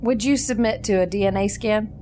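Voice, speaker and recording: A woman's voice: mid-range voice, fairly quick, mellow, a little hiss or hum, lightly acted. A woman playing a polite starship doctor in a tabletop game, asking questions in a hesitant, apologetic way. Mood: questioning.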